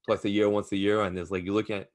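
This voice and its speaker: Casting: male podcast co-host in his twenties, deep voice, casual and opinionated, reflective advice-giver. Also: little pitch movement, racing. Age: 20s. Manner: reflective advice-giving, slangy with some swearing.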